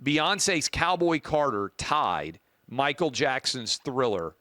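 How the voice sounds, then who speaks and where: A man with a booming voice hosting a talk show, giving emphatic opinion commentary and repeating key points for effect. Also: sing-song, slow pace, sharp tone, dry recording.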